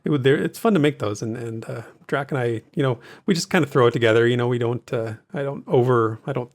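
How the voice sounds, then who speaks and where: A man's voice: medium pitch, fast. A man hosting a casual gaming recap podcast, chatting informally and reading out listener posts in an easygoing way. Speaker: podcast host.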